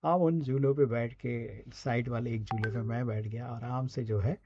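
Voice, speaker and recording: Male Indian presenter-teacher, mellow and a touch husky, conversational and instructive, on a dull lo-fi recording. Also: medium pitch, steady tone, moderate pace, no room sound. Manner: instructive, often adds short check-in tags. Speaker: radio presenter giving a speaking and writing lesson.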